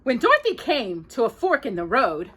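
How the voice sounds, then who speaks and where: A woman telling a children's story in an expressive, dramatic manner, drawing out key words. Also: on the high side, normal speed, husky. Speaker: storyteller, mother reading to her daughter. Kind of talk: children's storytelling with bits of song.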